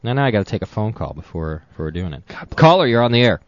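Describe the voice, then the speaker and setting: Male talk-show host, animated and playful, dull lo-fi dry sound. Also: lowish voice, rapid-fire, no echo. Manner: laughs often, playful teasing. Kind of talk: casual comedic talk show banter. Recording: dull lo-fi 2000s recording, dry.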